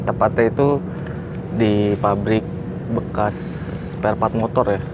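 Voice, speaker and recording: A fairly low-voiced man, talking loosely with plenty of fillers as he hosts a haunted-place vlog. He's recorded outdoors at night, with clear background noise and passing traffic behind him. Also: slow. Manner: relaxed, agreeable, lots of fillers. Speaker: co-host of a ghost-hunting channel. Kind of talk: casual chat on location. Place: abandoned factory grounds at night, outdoors.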